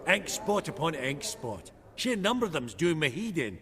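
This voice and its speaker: Chattering socialite for game: high, very animated, effusive, irreverent teasing. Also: male voice, moderate pace, over a noisy crowd. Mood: chummy, teasing.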